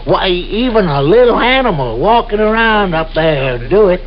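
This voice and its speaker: A man's voice: high voice, very animated, moderate pace. An actor playing a folksy, cautious backwoods man who is deferential and rambles hesitantly, in old-time radio drama style. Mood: warning. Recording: dull, hissy old radio recording.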